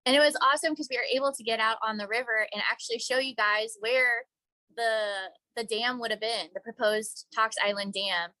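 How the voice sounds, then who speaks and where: A woman's voice: fairly high pitch, brisk, pushed and bright, no room sound, rough recording. A woman park ranger and educator answering interview questions in an upbeat way, hedging with small fillers.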